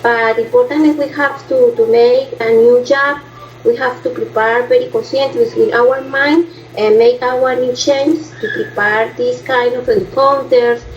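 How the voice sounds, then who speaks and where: Brief: woman student, fairly high voice, halting learner's English, lo-fi classroom recording with faint noise. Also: fairly even intonation. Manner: hesitant, many fillers and restarts, learner's English. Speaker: language student. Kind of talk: spoken class presentation.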